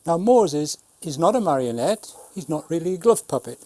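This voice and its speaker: A man in his seventies, a puppeteer, explaining and showing his craft in a measured way with dry humour. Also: on the high side, big pitch swings, warm tone, dry recording. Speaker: puppeteer, Punch and Judy showman. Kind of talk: informal talk demonstrating puppets.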